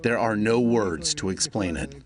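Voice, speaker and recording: A man narrating a scripted television news report in a measured, solemn way. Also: on the low side, animated.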